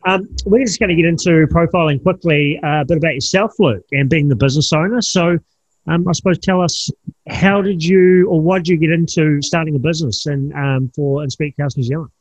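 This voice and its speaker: A man hosting a podcast interview, asking questions in a friendly, halting way with many fillers. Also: fairly high pitch, rough recording. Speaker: podcast host.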